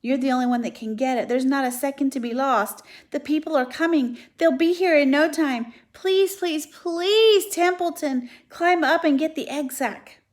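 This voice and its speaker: A woman's voice: fairly high pitch, steady tone, normal speed, hardly any pauses, a little mellow, lightly acted. A woman voicing a young male pig who pleads and cries out in desperation. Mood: frantic.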